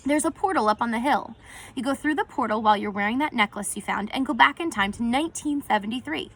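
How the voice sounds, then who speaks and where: An excitable, quirky young boy from a storybook, voiced by a woman reading aloud. The voice sits high and sounds bright and keen. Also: fast. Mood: proudly excited.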